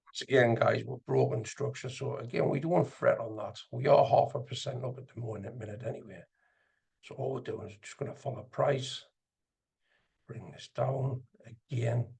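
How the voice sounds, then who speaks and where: A man giving casual live commentary as an instructor, gravelly and husky, sometimes muttering to himself as he explains. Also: medium pitch, fairly even intonation, moderate pace, darkish tone. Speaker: trading instructor and video host. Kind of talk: casual live screen-share commentary on chart trading.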